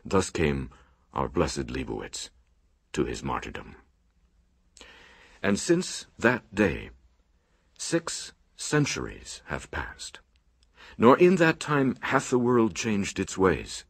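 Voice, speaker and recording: A man's voice: low-pitched, huge pitch swings, slow, vocal fry, pauses often, husky, no room sound, studio quality, full character voice. A man playing a solemn monk who recites his order's sacred history in archaic, oratorical radio drama style. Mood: grave, mournful, then solemn, resolute.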